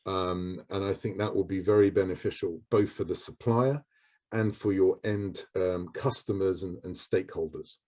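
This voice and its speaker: A man's voice: sits low, steady tone, moderate pace, a little mellow. A man answering questions on a video call panel, hesitant and full of "um"s. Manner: hesitant, many ums.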